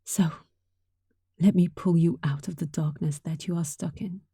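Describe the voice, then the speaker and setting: Woman voicing a sweet cuddly catgirl, very dark mellow tone, breathy, tender near-whisper, intimate roleplay audio, dry sound. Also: fairly low pitch, steady tone, normal speed, no room sound. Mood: tender, heartfelt. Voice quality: soft, breathy. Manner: tender, breathy, often dropping toward a whisper. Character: sweet, affectionate young catgirl roommate, grateful and cuddly. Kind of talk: intimate roleplay audio, softly acted monologue.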